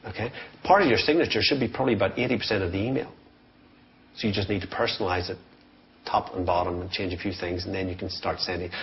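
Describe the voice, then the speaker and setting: Low-pitched man coaching on sales, dull audio. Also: a little hiss or hum. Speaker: sales trainer giving advice. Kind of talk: informal business coaching talk.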